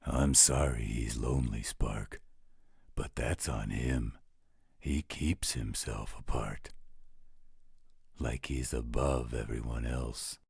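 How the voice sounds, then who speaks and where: A man playing a gruff, overprotective werewolf guardian with a husky, gravelly voice, teasing yet loyal, in a roleplay audio drama. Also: rumbling low, fairly even intonation, slow, pauses often, no echo, studio quality, exaggerated voice. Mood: grudging.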